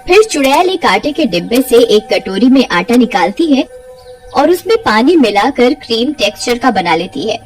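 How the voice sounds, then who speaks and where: A woman's voice: a little high, big pitch swings, moderate pace, music in the background. A woman telling a story as a scripted narration.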